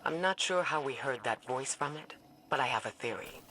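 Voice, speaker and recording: An actor playing a cautious, authoritative leader of guardians in a video game, measured and firm. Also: male voice, medium pitch, expressive, pushed and bright, slight background noise. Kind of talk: video game dialogue acting.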